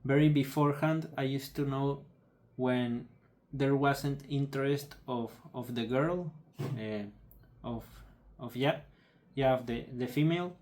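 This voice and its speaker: A young man whose first language is Spanish, speaking in a halting, subdued way with many restarts as he searches for words. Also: medium pitch, darkish tone. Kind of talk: personal video update.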